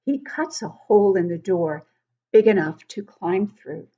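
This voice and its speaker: A woman reading a picture book aloud to young children, expressively, pausing to explain things and ask the listeners questions. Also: mid-range voice, slightly rough, highs cut off. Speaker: children's book club host and reader.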